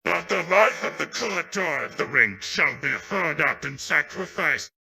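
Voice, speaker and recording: A synthetic male voice playing a power-hungry, boastful immortal djinn villain in a game cutscene, with grandiose, menacing delivery and a gravelly, husky tone. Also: a little high, very animated, normal speed, over music. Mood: grandiose menace. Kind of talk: dubbed video game cutscene dialogue.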